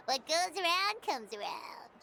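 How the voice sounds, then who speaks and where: A woman voicing a tiny, excitable, cheeky female fairy companion in a video game, chirpy and squeaky. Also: slow, slight background noise, full character voice. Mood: curious, cheeky. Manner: chirpy, refers to self in third person. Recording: loud game music behind.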